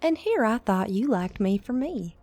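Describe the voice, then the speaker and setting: Mellow-voiced woman acting a flirty, teasing lover. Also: fairly high pitch, very animated, normal speed, no room sound, soft background music. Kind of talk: audio drama dialogue.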